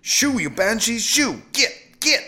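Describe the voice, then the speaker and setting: A man's voice: on the high side, big pitch swings, normal speed, fairly bright tone, pitched above the performer's own voice. A man playing a stubborn, peevish young husband who complains in exasperation in a storybook reading. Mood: shouting, frantic. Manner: complaining, groaning.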